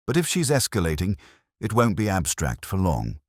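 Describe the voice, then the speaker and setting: A man voicing a calm, courteous, thoughtful police inspector with measured, deliberate phrasing. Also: low-pitched, dry recording, studio quality, pitched above the performer's own voice, exaggerated voice. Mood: calm, musing.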